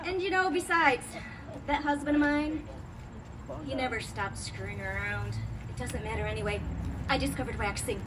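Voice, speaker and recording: A woman's voice: a little high, normal speed, far from the mic, close to an everyday voice. A woman performing a comic monologue as a wry, outspoken character airing intimate grievances. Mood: wry, resentful. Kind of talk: comic monologue in a staged reading. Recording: very noisy live venue recording.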